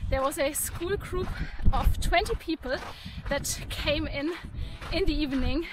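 A woman's voice: sits high, a little slow, low-quality recording. A young woman vlogging to a handheld camera on a mountain hike, chatty and laughing often. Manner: chatty, laughs often, restarts mid-sentence. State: out of breath while climbing. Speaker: hiking vlogger. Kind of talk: casual vlog to camera. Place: outdoors in a windy mountain valley. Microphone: camera held at arm's length.

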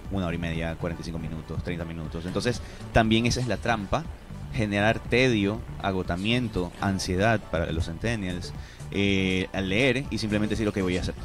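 A man's voice: deep voice, fairly quick, music in the background. A man in his thirties explaining things with examples as an expert guest in a radio studio interview. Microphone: studio broadcast microphone.

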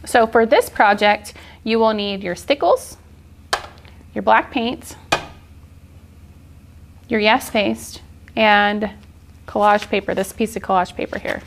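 A woman's voice: medium pitch, slow pace, gravelly, long pauses, slight background noise. A woman in her forties giving an informal, encouraging art journaling tutorial in a casual style. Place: indoor art studio, seated at work table.

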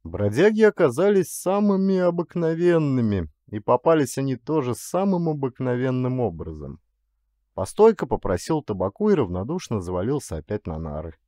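A man reading literary prose as an audiobook narrator, in an expressive, theatrical manner. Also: mid-range voice, normal speed, no echo, clean studio sound.

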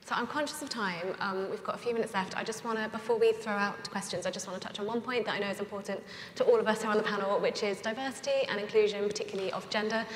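A woman moderating a live panel discussion on a conference stage, friendly and brisk, keeping an eye on the time. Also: medium pitch, slight background noise. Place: large conference hall stage. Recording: roomy hall with long reverb.

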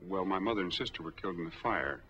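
An American actor in his forties playing a smooth, easygoing drifter in a 1940s film drama. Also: sits low, expressive, quick pace. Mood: earnest, measured. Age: 40s. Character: smooth, easygoing drifter applying for bank money. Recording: dull old 1940s film soundtrack.

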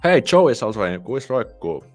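A young man from Finland with a Finnish accent, hosting a casual live Q&A and sounding tired, with a relaxed, halting way of talking full of restarts. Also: mid-range voice, soft background music. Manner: relaxed, halting, with many restarts and soft laughs. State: tired, yawning. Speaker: livestream host. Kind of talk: casual live Q&A, reading viewer comments. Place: small home room with patterned curtain. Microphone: studio microphone on boom arm, headphones on.

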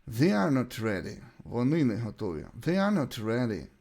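Ukrainian-accented male teacher, very lively intonation. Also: mid-range voice, creaky. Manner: deliberate, repeats short sentences. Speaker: English teacher. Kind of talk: bilingual language lesson, reading example sentences and translations.

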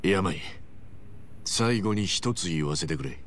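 An actor playing an earnest, good-hearted tough guy in a video game, speaking bluntly and roughly from the heart. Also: low voice, lively intonation, takes it slowly, a bit bright, a little hiss or hum, heavily acted. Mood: earnest, grateful. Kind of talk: video game cutscene acting.

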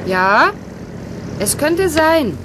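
Earnest young woman, lively intonation. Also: sits high, drawn-out words, full character voice. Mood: curious. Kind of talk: German radio play acting. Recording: engine noise behind the voices.

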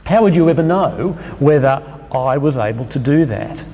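A middle-aged man with an Australian accent, preaching a sermon at a lectern microphone, emphatic and slowing down on key phrases. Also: medium pitch, expressive, mellow. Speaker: pastor. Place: church hall with a lectern. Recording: long reverb of a church hall.

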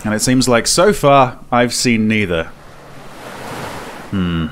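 A man's voice: medium pitch, lively intonation, slow pace. A man in his thirties giving casual, wryly understated commentary as host of a gameplay video. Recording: very noisy game ambience behind.

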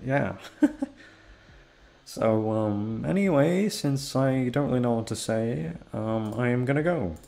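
A young man rambling in a casual chat, swearing often and jumping into high-pitched exclamations. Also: lively intonation, slow, vocal fry, dark tone. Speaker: audio roleplay creator.

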